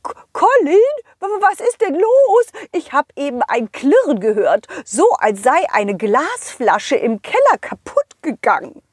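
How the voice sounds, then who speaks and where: A woman's voice: sits high, huge pitch swings, unhurried, few pauses, dry recording, clean studio sound, heavily acted. A woman voicing an anxious, tired king in a children's audio story, stammering and fretting as he talks. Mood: frightened. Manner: stammering, dragging out words, fretful.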